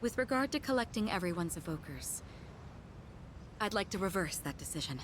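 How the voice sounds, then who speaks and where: A woman playing a composed, formal young leader in a video game, speaking in a measured, authoritative manner. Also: medium pitch, very animated, background noise, lightly acted. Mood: composed, resolute. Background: game music.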